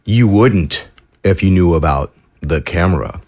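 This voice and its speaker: An actor playing a sly intruder who mocks two scientists in a slow, taunting drawl, in a radio drama. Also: male voice, low-pitched, expressive, darkish tone, heavily acted. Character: sly, mocking male intruder.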